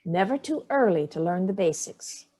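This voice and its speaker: A woman's voice: mid-range voice, expressive, moderate pace, mellow, lightly acted. An actress playing Sallie, a dry, cutting older mother fond of cocktails, in a staged play reading. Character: Sallie, sharp-tongued hard-drinking older mother.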